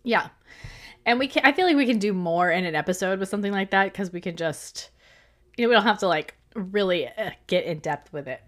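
A woman's voice: medium pitch, expressive, a little fast, fairly bright tone. Woman co-host, easygoing chatty delivery, frequent laughs. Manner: chatty, laughs often between remarks. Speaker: podcast co-host. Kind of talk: casual chat recapping episodes.

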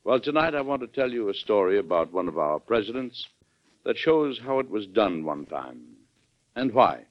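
Casting: avuncular older US president, very animated intonation, 1940s radio drama, dull vintage broadcast sound. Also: male voice, lowish voice, normal speed. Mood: folksy, confiding. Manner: chuckling, folksy, avuncular. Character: genial, unflappable older male US president telling his own story.

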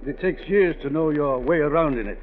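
A man's voice: a little high, brisk, natural voice. An actor playing a pompous, stoutish businessman with genteel, hesitant speech in a 1940s radio drama. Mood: smug, genial. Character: stoutish self-important gentleman importer, eager to impress, secretive. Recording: tinny, muffled old radio recording.

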